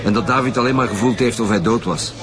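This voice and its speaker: An actor playing a factual, companionable police detective with a Flemish accent in a radio drama. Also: male voice, medium pitch, fast, music in the background, natural voice.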